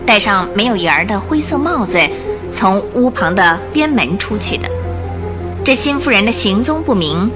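A woman narrating a radio drama, reading scripted story and news reports. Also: fairly high pitch.

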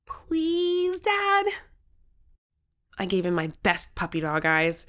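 A woman's voice: mid-range voice, huge pitch swings, takes it slowly, a bit bright, clean tone, heavily acted. A woman playing an eager, competitive thirteen-year-old girl who tells her own story in an audio drama. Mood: pleading. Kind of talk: first-person audio drama narration.

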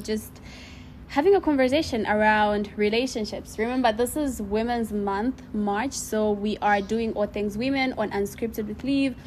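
A woman's voice: medium pitch, slight background noise. A young adult woman hosting a podcast in a bubbly, chatty way with frequent laughter. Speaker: podcast host. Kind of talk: casual interview chat.

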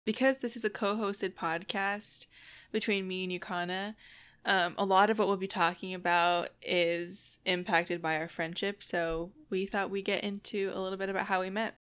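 A woman's voice: mid-range voice, steady tone, moderate pace, a bit bright, smooth. A young woman from the United States co-hosting a casual podcast, talking in a friendly, reflective way in full sentences. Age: young adult. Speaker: podcast co-host.

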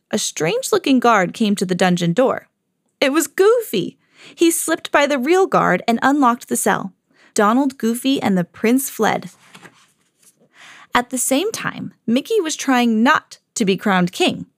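A mother hosting her own podcast, reading a children's storybook aloud with lively jumps for the quoted lines. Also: a little high, measured pace, dry recording, studio quality. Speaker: mom reading to her kids, podcast host.

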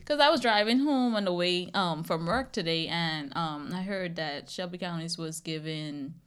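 A woman's voice: on the low side, normal speed, fairly bright tone, clear, resonant tone. A woman in her twenties from The Bahamas, chatting casually as a podcast guest. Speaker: podcast guest sharing own experience. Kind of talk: casual chat.

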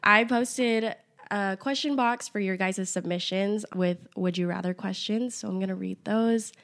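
A young woman with a mid-pitched voice that sounds nasal and raspy from bronchitis. She chats casually and easily as a podcast co-host. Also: a little slow, a bit bright. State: sick with bronchitis. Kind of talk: casual chat.

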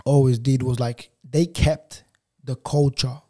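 Guest voice: young man, first language Portuguese, mellow timbre, loose and rambling storytelling. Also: medium pitch, measured pace. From Angola, raised in Portugal. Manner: relaxed, rambling, many fillers and restarts. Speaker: guest photographer. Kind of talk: casual interview chat.

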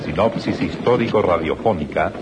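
A man's voice: sits low, moderate pace. A man making formal announcements for a radio drama. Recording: very noisy early 1980s radio recording.

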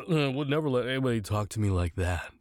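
A man's voice: medium pitch, lively intonation, brisk, darkish tone, natural voice. An actor playing a mooching young man with a theatrical, mocking drawl in a sitcom audio drama. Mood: nonchalant.

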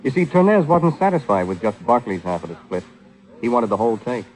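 An American actor playing a cool, sardonic, teasing private detective in a vintage 1940s radio drama. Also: male voice, medium pitch, sing-song, fairly quick, darkish tone, natural voice. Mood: explaining. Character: wisecracking, cool-headed male private detective, flirtatious with women.

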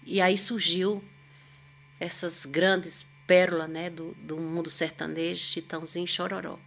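A woman's voice: on the low side, little pitch movement, unhurried, vocal fry, a bit bright. A woman, a singer and podcast guest telling long, rambling stories in a chatty, self-deprecating way. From Northeast Brazil. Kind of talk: casual storytelling chat.

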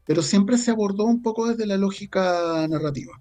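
Mellow Chilean man, halting delivery. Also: high voice, soft background music. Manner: halting, repeats words while searching for phrasing. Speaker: interview guest, audio drama writer. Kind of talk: casual interview conversation.

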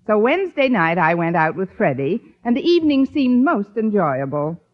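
Sardonic schoolmarm acted by a woman, animated pitch, dry punchlines, vintage radio comedy, slightly dull sound. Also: moderate pace, natural voice. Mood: wry, narrating. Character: wry, quick-witted single schoolteacher woman. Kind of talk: 1950s radio comedy acting.

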